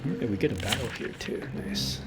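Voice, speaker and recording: A man's voice: mid-range voice, animated, a little fast. A man reading a video game's story aloud as a streamer, with casual asides. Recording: loud game music behind the voice. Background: loud game music.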